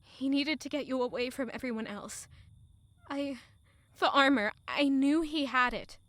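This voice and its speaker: A woman's voice: sits high, unhurried, a bit bright, dry recording. An actress playing a fierce, loyal young woman in distress in an emotional audio drama. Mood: distraught.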